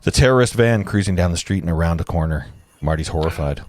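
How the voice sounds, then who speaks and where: A man's voice: deep voice, expressive, fast talker, darkish tone, dry recording. A man narrating stage directions at a live table read, informally, breaking off now and then to comment. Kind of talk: casual live script read-through.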